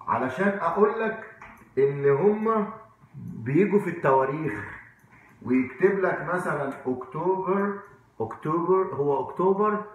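A man's voice: on the high side, narrow, tinny audio. A man in his forties with an Egyptian accent giving an informal language lesson, repeating words and asking check questions in a conversational way. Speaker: English teacher. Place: indoor room at a whiteboard. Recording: very echoey room.

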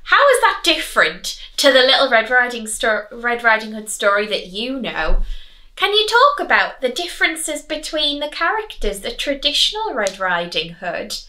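A young woman teacher reading and chatting expressively to children, clearly enunciated. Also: on the high side, big pitch swings, moderate pace, pushed and bright. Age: young adult. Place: small living room, on a sofa.

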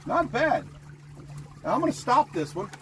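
Lively older man on a motorboat. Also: very high-pitched, moderate pace. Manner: lively, rambling, self-correcting. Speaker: boat builder demonstrating motors. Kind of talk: casual product demo. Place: small open boat on a lake, outdoors. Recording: outdoor boat noise. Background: motor and water noise.

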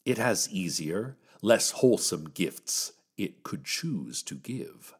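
An ominous male keeper of a mysterious stairway in an audio drama. He speaks very slowly in a slightly low voice. Every phrase is formal and measured, a solemn caution given as a radio broadcast. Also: sing-song, husky, full character voice. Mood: grave warning. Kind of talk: scripted audio fiction radio broadcast monologue.

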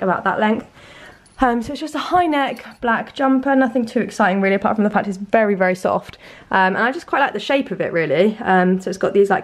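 Young woman vlogger, chatty and filler-heavy, faintly hoarse from a cold, recorded on a handheld camera in a bedroom. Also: mid-range voice. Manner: chatty, lots of "um" and "like". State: slightly sore throat from a cold. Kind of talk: casual chat to camera. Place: bedroom at home, indoors. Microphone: handheld camera, arm's length or mirror.

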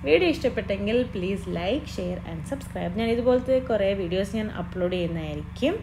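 A woman's voice: medium pitch, expressive, normal speed. A young woman with a Malayali accent, chatting through a home cooking vlog in a kitchen and mixing English words into her speech. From Kerala. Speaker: cooking vlogger. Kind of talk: casual cooking voice-over. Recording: noisy background.

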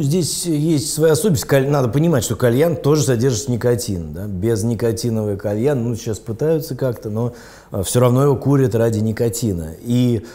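A man with a dark, relaxed voice answers as an expert guest. He explains in a halting way, often abandoning a phrase and starting it again. His pitch sits in an ordinary male range. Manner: halting, often breaks off and rephrases. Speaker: medical expert guest on a health show. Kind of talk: explanatory interview talk.

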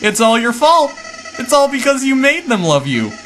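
A man's voice: very high-pitched, sing-song, moderate pace, background noise, voice pushed up. A man voicing a spiteful evil flower who taunts and cackles, with music playing underneath. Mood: menacing.

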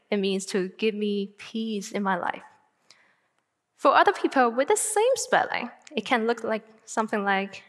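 A young woman in her twenties from northern China, a native Chinese speaker with a Mandarin Chinese accent, giving an earnest prepared talk on a stage and slowing down to stress her phrases. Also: medium pitch, a bit bright. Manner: earnest, deliberate with slow emphatic phrases. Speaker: student speaker. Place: large auditorium stage. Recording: long hall reverb.

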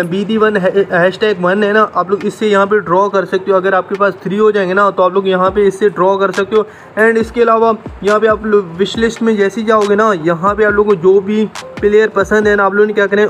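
High-pitched man, gaming voice-over. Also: slight background noise. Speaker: gaming channel host. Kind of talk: casual explainer over mobile game screen recording.

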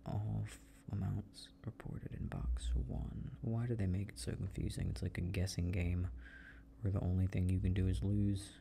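A man with a lowish, mellow voice talks quietly to himself in a casual ASMR roleplay. His delivery is slow and murmured, often dropping toward a whisper. There is faint noise behind him. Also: little pitch movement, vocal fry. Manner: murmuring, half-whispered, self-talking. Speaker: audio roleplay performer playing a boyfriend. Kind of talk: soft improvised ASMR roleplay, muttering to himself while working.

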